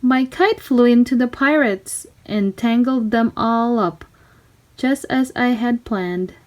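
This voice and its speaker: A woman narrating a children's storybook aloud in expressive, clearly separated phrases. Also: on the high side, slow pace. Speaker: storybook reader.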